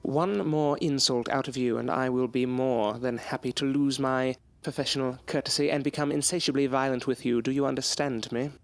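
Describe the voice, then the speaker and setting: A man's voice: mid-range voice, fairly even intonation, moderate pace, few pauses, dry recording, faint music behind, close to an everyday voice. An actor playing a proud, loyal male scientist in an audio drama, speaking in a formal, articulate, theatrical way. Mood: controlled anger. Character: proud, defiant, loyal male scientist, courteous but bitter. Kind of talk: period audio drama acting.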